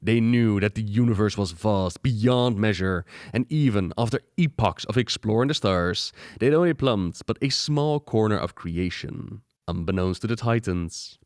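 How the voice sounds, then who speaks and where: A man's voice: on the low side, animated, normal speed, hardly any pauses, a little mellow, no echo. A man hosting an explainer video, delivering scripted narration into a close mic. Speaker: video host.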